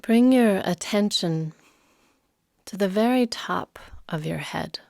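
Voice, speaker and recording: A woman leading a guided meditation as a yoga nidra teacher, soothing and unhurried, leaving long pauses. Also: mid-range voice, creaky, darkish tone, no room sound, clean studio sound.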